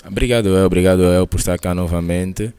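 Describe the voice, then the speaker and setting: Deep steady football pundit, smooth voice, lots of ehs, careful takes. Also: male voice. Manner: hesitant, many fillers and restarts, measured opinions. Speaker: football commentator. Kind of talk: sports commentary chat.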